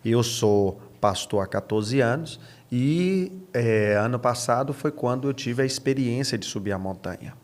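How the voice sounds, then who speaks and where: Brazilian man in his thirties, earnestly explaining. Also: fairly low pitch. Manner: earnest, explanatory, repeats phrases for emphasis. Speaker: pastor, podcast guest. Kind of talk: podcast interview answers.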